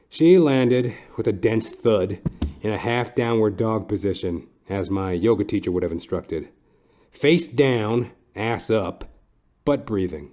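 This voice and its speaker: Man acting a deadpan hardboiled detective narrator. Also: lowish voice, natural voice. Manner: deadpan, florid, deliberate storytelling. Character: wry, lecherous hardboiled male private detective narrator. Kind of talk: scripted noir-parody narration.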